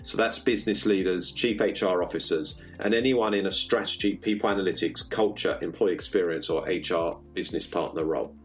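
Male podcast host, lowish voice, measured and scripted, faint music underneath. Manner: measured, list-like delivery with read-out ad copy. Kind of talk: scripted podcast intro and interview questions.

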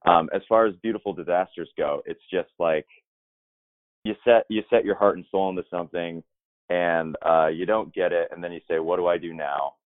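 A man who performs in musical theater answers interview questions thoughtfully, rambling a little and often restarting his sentences in a low voice. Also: steady tone, normal speed, no echo. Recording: dry, tinny phone-like line.

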